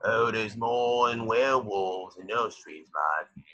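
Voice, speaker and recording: A man playing a stern, sour old caretaker, taunting and menacing with a rough voice, in an amateur table read. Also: on the low side, slow pace, pushed and bright, heavily acted. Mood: scornful, menacing. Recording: dull lo-fi microphone.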